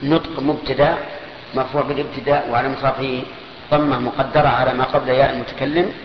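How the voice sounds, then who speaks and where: A man giving a grammar lesson, slowly parsing each sentence step by step and asking questions that he answers himself. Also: medium pitch, little pitch movement. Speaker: grammar teacher. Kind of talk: classical Arabic grammar lesson. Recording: echoey hall, dull lo-fi sound with faint noise.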